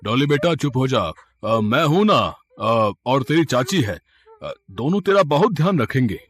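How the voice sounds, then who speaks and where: A man playing a greedy, murderous uncle in a moral story, coaxing and conspiratorial, with a husky voice. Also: mid-range voice, big pitch swings, measured pace, fairly bright tone. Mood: falsely soothing. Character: scheming murderous uncle, falsely kind, greedy.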